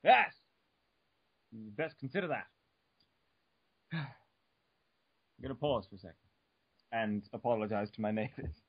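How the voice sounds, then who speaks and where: A man's voice: mid-range voice, expressive, measured pace, long pauses, husky, no echo. A man giving an over-the-top dramatic reading of an article, stumbling over words and breaking off for joking asides, on a dull lo-fi home recording. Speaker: reader. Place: room at home.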